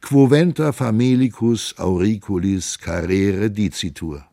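Male reader doing a clever, down-on-his-luck vagabond; slow, theatrical, pleading delivery. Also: on the low side, vocal fry, warm tone, dry recording, clean studio sound. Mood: pleading, grandiloquent. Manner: slow, drawn-out, babbling in foreign tongues. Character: ragged wandering stranger, clever, pleading. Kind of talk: audiobook reading.

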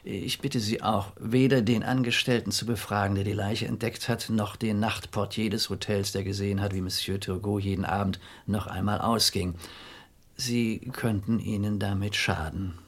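An actor playing a discreet, resigned French police commissioner in a German radio crime drama, speaking formally, with measured courtesy and a husky voice. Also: male voice, lowish voice, fairly even intonation. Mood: guarded, firm. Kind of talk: German radio play acting.

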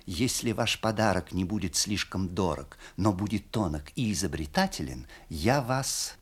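An actor voicing a capricious young fiancée in a dramatic story reading, speaking in a coy, deliberate, halting manner. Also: male voice, deep voice, animated, husky, pitched below the performer's own voice. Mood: coy, demanding. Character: young fiancée, exalted, capricious, coquettishly demanding a gift.

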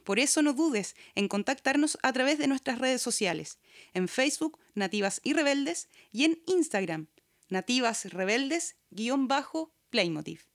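A woman's voice: high voice, expressive, unhurried. A Chilean woman reading scripted announcements as a podcast host. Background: background music.

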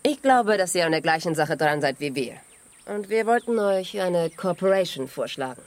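An actress playing a cool, assertive American television reporter in a radio drama, direct and challenging. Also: female voice, lowish voice, animated, moderate pace, natural voice. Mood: businesslike. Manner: direct, challenging, switches into English.

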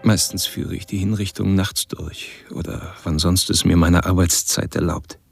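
An actor playing a coldly methodical serial killer, speaking a calm, measured, clinical monologue in a radio drama. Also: male voice, deep voice, vocal fry, breathy edge, faint music behind, heavily acted. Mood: cold, detached. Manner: measured, clinical, matter-of-fact.

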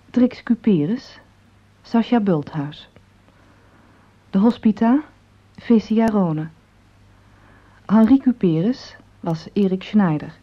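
A woman announcer reading radio drama credits in a formal, measured way. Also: mid-range voice. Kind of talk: scripted closing credits. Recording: dull old radio broadcast recording.

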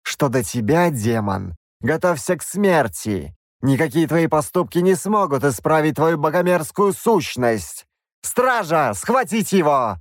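A man voicing a stern, zealous bishop who speaks coldly, with a measured hiss. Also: fairly high pitch, very animated, no echo, studio quality, voice pushed up. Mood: cold, menacing. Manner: cold, hissing, measured.

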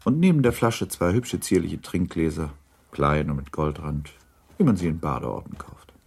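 A man narrating a literary radio drama in a measured storytelling manner. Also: very deep voice, animated, a little mellow, husky.

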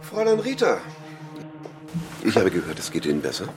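An actor playing a gaunt, falsely courteous doctor in a radio play, speaking formally and in clipped commands. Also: male voice, sits low, wildly swooping pitch, a little fast, music in the background. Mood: formal, clinical. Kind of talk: radio drama acting.

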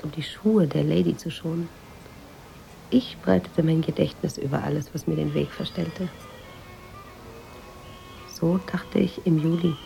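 An introspective woman writer in a radio play, confiding memories in measured phrases. Her voice is lowish and heavily creaky, with a mellow, dark tone. She sounds quietly troubled and literary. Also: poor audio. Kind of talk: radio drama monologue.

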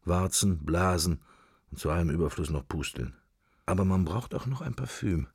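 Middle-aged man thinking out loud, low husky voice, sardonic, wandering radio play monologue, very dry close sound. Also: moderate pace, no echo, exaggerated voice. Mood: dreamy musing. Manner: wandering, clipped musing, sardonic.

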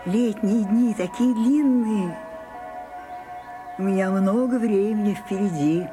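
A woman's voice: medium pitch, over music, natural voice. An older woman playing an elderly, imperious and wistful stage actress in a theatrical radio drama. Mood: dreamy, imperious. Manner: slow, deliberate, theatrical delivery.

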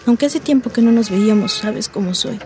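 A woman's voice: mid-range voice, fairly quick, warm tone, close to an everyday voice. A woman with a Mexican accent acting in a radio play as a naive but determined migrant, earnest and questioning. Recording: music bed behind the voice.

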